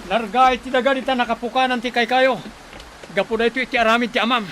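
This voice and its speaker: A man's voice: extremely high pitch, fairly even intonation, fast talker, a bit bright. An actor playing an urgent, protective man giving instructions in a Philippine radio drama. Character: urgent, protective man leading companions through a typhoon. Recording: clearly noisy, storm sound effects.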